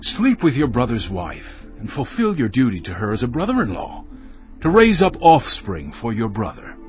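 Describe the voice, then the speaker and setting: A man plays a calculating biblical brother with a mid-range, highly animated voice. He declaims persuasively, in slightly dull audio with light music. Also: normal speed. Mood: commanding. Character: calculating, persuasive brother and family head, Judah. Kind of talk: dramatized Bible acting.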